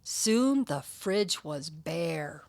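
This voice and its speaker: A woman reading a children's picture book aloud, with expressive, deliberate and emphatic storytelling delivery. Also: deep voice, gravelly.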